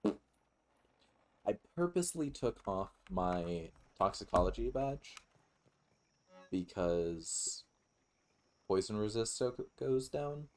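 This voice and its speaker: A man's voice: on the low side, very animated, takes it slowly, pauses often. A man giving casual, rambling let's play commentary with some swearing. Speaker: gaming YouTuber.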